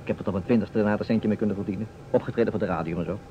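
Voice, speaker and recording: A man acting a self-assured, slyly teasing detective, measured and deliberate with dramatic pauses. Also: fairly low pitch, natural voice. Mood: storytelling. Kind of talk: old Dutch radio play acting. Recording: muffled old radio recording, faint noise.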